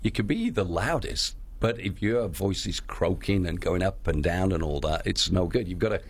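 Low husky guy chatting away about his town crier work, laughs a lot. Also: expressive, moderate pace. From Australia. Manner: chatty, laughs easily, acts out quoted voices. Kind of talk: casual interview chat.

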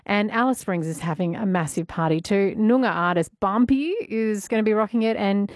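A woman hosts a radio show with a bubbly, chatty manner. Her voice sits at an ordinary pitch. The sound is close and dry, with no room around it. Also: normal speed, dry recording. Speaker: radio presenter. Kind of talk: casual radio chat.

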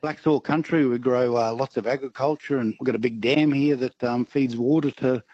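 An Australian man with a husky voice calls a radio show. The sound is a dull, dry phone line. He talks casually, in a laid-back way. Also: medium pitch, steady tone, moderate pace, warm tone, no echo. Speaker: radio quiz caller.

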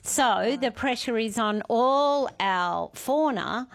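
A woman's voice: a little high, animated, slow pace, vocal fry. An Australian woman hosting talkback radio, giving gardening advice in a chatty, forthright way. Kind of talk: casual radio advice chat.

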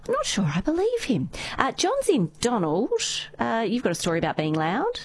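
A woman hosting casual talkback radio, chatty and quick to laugh. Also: fairly high pitch, huge pitch swings, no echo. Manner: chatty, laughs easily, uses fillers. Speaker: radio host.

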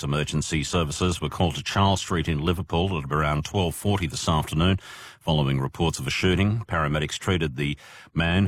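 A man with an Australian accent reading radio news headlines in a measured, formal way. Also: extremely low pitch, slight background noise. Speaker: newsreader.

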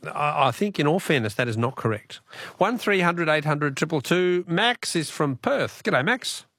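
Man, Australian accent, lively swooping intonation, dry close studio sound, jokey quiz host, phone-in banter. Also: on the high side, moderate pace, no room sound. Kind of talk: live phone-in quiz chat.

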